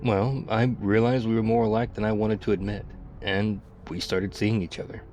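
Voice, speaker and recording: Mellow, low-voiced war-weary male survivor acted by a man. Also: flat delivery, soft background music, natural voice. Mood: wistful, confiding. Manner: confiding, reflective, halting. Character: battle-worn male ex-soldier survivor of zombie apocalypse, wistful, confiding. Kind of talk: intimate ASMR roleplay acting.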